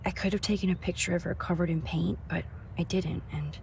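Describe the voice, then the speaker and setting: Nerdy young woman photographer, lower-pitched, hushed, supportive, video game dialogue, noisy background. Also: steady tone, a little fast, vocal fry. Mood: supportive, thoughtful. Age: young adult. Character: caring, nerdy, quietly determined young female student photographer.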